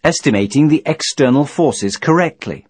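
A man reading scripted instructional narration in a measured, formal, didactic manner. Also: a little high, animated, darkish tone, husky, no echo. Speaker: training video narrator.

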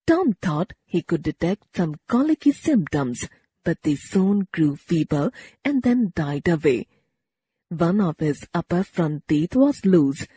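Slow, animated woman storyteller. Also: on the low side, husky, dry recording. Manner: measured, expressive storybook delivery. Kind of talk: scripted children's story narration.

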